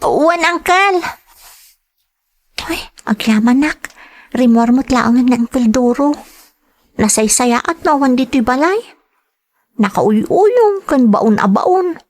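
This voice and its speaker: A woman's voice: sits high, animated, takes it slowly, pauses often, full character voice. A woman playing a dutiful but weary young girl who complains, in a family audio drama. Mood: obedient. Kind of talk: dramatized radio-style family drama acting.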